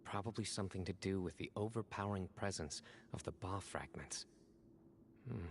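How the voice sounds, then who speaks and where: An actor playing a stern, composed young desert guardian in a video game, speaking in a measured, deliberate, solemn way with reflective resolve. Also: male voice, low-pitched, a little hiss or hum. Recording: background music under dialogue.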